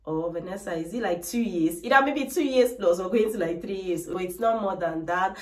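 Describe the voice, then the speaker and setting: A woman's voice: fairly low pitch, normal speed, a little mellow. Woman vlogger, 30s, casual chatty talk to camera, slightly distant and echoey camera audio. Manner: chatty, frequent "like" and "trust me". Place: bright decorated room indoors. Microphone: camera mic, no microphone. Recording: echoey room, voice a little distant.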